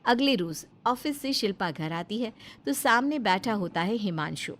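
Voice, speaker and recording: A woman's voice: mid-range voice, expressive, moderate pace, fairly bright tone. A woman storyteller narrating a scripted moral story.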